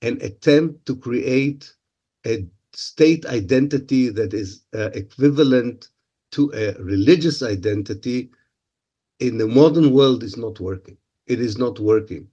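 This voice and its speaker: A man's voice: mid-range voice, a little slow, warm tone, husky, highs cut off. A man whose first language is Hebrew, from Israel, answering interview questions with many filler sounds and restarts.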